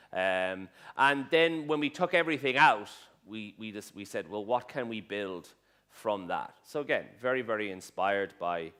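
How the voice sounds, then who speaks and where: A middle-aged man with an Irish accent speaks to a conference audience in a fairly low voice. He stops and restarts often and fills gaps with ums. His humour is dry. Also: fairly bright tone. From Ireland. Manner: hesitant, many fillers and restarts, dry humour. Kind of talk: conference talk with slides. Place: conference hall stage.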